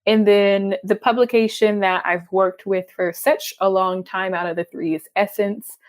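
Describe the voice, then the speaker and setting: A woman's voice: mid-range voice, steady tone, normal speed. A young adult woman from Texas with a Texan accent, a freelance writer chatting animatedly and laughing often in an interview. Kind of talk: conversational interview.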